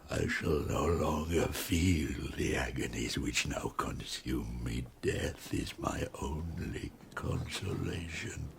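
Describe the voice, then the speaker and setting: An actor playing a tormented, man-made creature in an audiobook drama, menacing and mournful, gravelly and breaking into harsh whispers. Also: a bass voice, slight background noise, exaggerated voice. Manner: grave, anguished declaiming.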